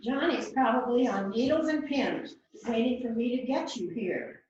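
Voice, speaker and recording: A woman's voice: a little high, lively intonation, moderate pace, a little mellow, dull audio, lightly acted. A woman reading the part of an anxious married housewife in an amateur mystery play rehearsal. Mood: chatty, welcoming. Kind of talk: amateur readers theater rehearsal, script reading. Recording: very echoey room, distant mic, faint noise.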